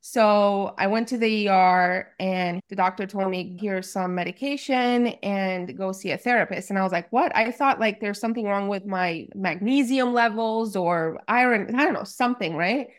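A woman's voice: mid-range voice, moderate pace, hardly any pauses. A woman in her forties, originally from Romania, speaking as a life coach guest in an open, chatty way, with lots of "like"s. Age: 40s. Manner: open, chatty, frequent likes and laughs. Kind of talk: casual interview chat.

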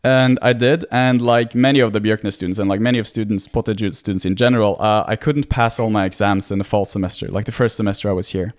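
A man's voice: lowish voice, fast talker, hardly any pauses, no room sound. A man in his twenties from Norway, a medical student talking as a podcast guest in an easy, chatty way with frequent small restarts and laughs. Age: 20s. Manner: relaxed, laughs often, many filler restarts. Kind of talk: casual conversation.

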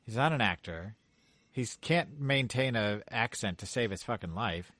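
A man with a low, lively, swinging voice grumbles bluntly about a film, swearing as he goes. The sound is dry and close, with no room around it. He talks in easy, casual podcast chat. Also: moderate pace, no room sound. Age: adult. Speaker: podcast co-host. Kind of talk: casual movie review chat.

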